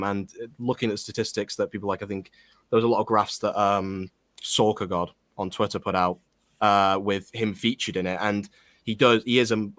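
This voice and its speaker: A man's voice: fairly low pitch, dry recording, clean studio sound. A man giving his view in a casual football discussion, hesitant and restarting as he builds his point. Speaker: podcast panelist.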